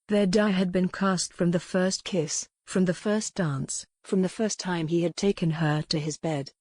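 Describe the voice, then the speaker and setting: A woman narrating a dramatic romance audiobook in a measured, solemn storytelling manner. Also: fairly low pitch, darkish tone, dry recording.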